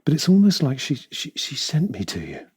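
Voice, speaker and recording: A husky, hesitant, regretful man. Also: fairly high pitch, wildly swooping pitch, darkish tone, dry recording, clean studio sound, full character voice. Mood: wistful, regretful. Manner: halting, emotional delivery. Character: hesitant, regretful man torn between two women. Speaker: actor. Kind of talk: audio drama acting.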